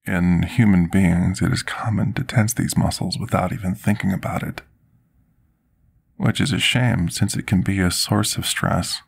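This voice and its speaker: A man playing a devoted potion master in an intimate fantasy ASMR roleplay, speaking husky and gravelly, with formal, courteous, slightly hesitant deference. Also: low voice, fairly even intonation, normal speed, heavily acted. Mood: soothing, tender.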